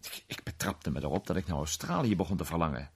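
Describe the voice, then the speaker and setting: A man playing a worldly film actor in a radio drama, recounting an unsettling memory in a conversational, sometimes hesitant, theatrical way with a husky voice. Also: low-pitched, sing-song, fast talker, darkish tone, full character voice. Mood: uneasy, confiding. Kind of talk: radio drama storytelling in character.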